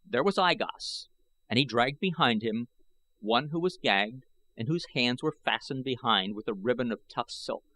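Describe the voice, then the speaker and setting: A man's voice: medium pitch, animated, moderate pace, sharp tone, poor audio. A man narrating an adventure novel as a dramatic scripted audiobook reading.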